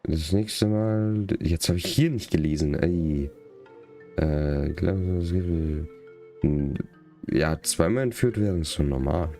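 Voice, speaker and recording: A man's voice: very deep voice, unhurried, dark tone. A man doing casual livestream commentary on a game, with wry joking asides and some dialogue read aloud. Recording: game music behind the voice.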